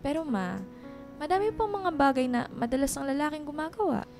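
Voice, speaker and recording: An actress playing a caring, apologetic young daughter in a Filipino radio drama, speaking respectfully and pleadingly. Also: female voice, high voice, lively intonation, brisk, darkish tone, faint music behind. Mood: apologetic, earnest.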